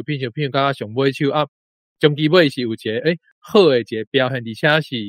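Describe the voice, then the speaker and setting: A man with a Taiwanese accent casually sharing his thoughts on a story as a guest, halting and repeating himself phrase by phrase. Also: mid-range voice, no room sound. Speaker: book club guest. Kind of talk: casual spoken reflection on a story.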